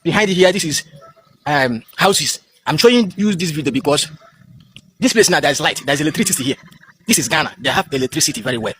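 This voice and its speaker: A man's voice: fairly high pitch, animated, fairly quick, a bit bright, slight background noise. A Nigerian man whose first language is Igbo, vlogging outdoors on a smartphone in a rambling, defiant way. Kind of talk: phone vlog.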